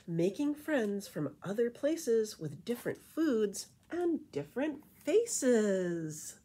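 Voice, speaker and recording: A middle-aged woman reads a children's picture book aloud as a librarian, with rhythmic, animated storytelling and casual asides. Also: a little high, slow pace, husky. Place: indoor room with painted wall.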